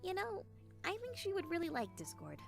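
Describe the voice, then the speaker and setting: A woman voicing an earnest, caring cartoon pony friend, measured and sincere. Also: extremely high pitch, huge pitch swings, gravelly, soft background music, exaggerated voice. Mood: warm, reassuring.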